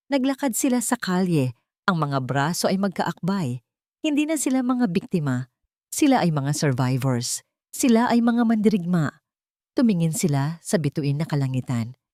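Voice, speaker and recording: A woman narrating a scripted romance story in an earnest, sentimental, measured way. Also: fairly low pitch, darkish tone, no echo, clean studio sound.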